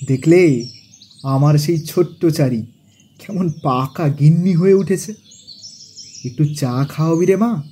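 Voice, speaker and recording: A man's voice: a little high, measured pace, pauses often, mellow, slightly rough. A man playing a chummy, grumbling office clerk who cajoles and exclaims in colloquial audio drama dialogue. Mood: jovial.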